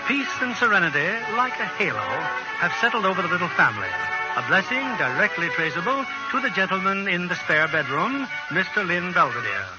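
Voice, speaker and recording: A man's voice: high-pitched, very animated, brisk, rough recording. A man hosting and narrating a radio drama in formal, theatrical 1940s broadcast style. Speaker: host and producer of radio drama show. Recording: old radio recording with music behind. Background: loud music.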